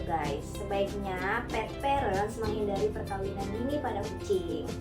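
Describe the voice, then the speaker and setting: A young woman with a slightly high voice gives chatty pet-care advice and keeps calling her viewers guys. She sounds a little far from the mic in a roomy, echoing space, with clear background music. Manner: chatty, sprinkles English words, keeps addressing viewers as guys. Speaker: cat owner sharing pet care tips. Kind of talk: casual vlog talk to viewers. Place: home studio. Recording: echoey room, heard from a distance, music behind.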